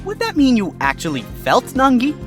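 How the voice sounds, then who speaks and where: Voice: high voice, wildly swooping pitch, soft background music. A wisecracking fox lawyer, voiced by an actor. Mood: arguing. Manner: quick, wry, exclaiming objections. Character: wisecracking male fox defense attorney.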